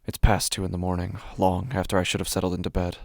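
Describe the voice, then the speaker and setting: A man performs a frightened, sleepless man narrating a waking nightmare for a horror audio drama. His voice is low and gravelly, recorded close and dry. He speaks haltingly and intensely, circling back over his own phrases. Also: completely flat delivery, no room sound. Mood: dazed, uneasy. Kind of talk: horror fiction podcast, dramatic first-person monologue.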